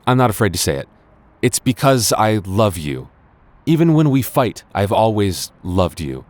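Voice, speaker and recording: A man acting a stubborn but regretful young man in an intimate boyfriend roleplay, speaking in a halting, earnest way with stammers and sighs. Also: fairly low pitch, big pitch swings, no echo. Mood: tender, confessing. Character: stubborn, regretful young man confessing love to childhood friend.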